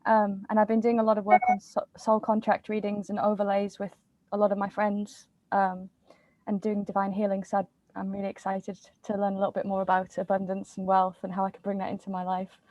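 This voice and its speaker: Mellow, flat-toned woman, enthusiastic. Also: mid-range voice, brisk, no echo, dull audio. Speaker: webinar attendee. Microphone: video call.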